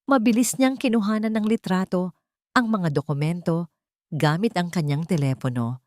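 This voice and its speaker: A woman's voice: on the low side, normal speed, darkish tone, no room sound, clean studio sound. A woman narrating a scripted love story in a suspenseful, theatrical way.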